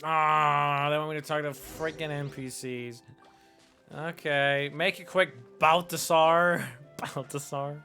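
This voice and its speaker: Bright-voiced man doing flippant gameplay commentary. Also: fairly high pitch, steady tone, slow, faint music behind. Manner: flippant, wisecracking. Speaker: video game live streamer. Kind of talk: casual live gameplay commentary.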